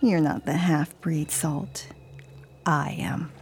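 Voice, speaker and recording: A woman's voice: low voice, steady tone, slow pace, a little mellow. An actress playing a secret flesh-eating half-breed woman in a radio horror drama, wry, hungry, taunting and confiding. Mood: sly, teasing. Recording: music under the voice.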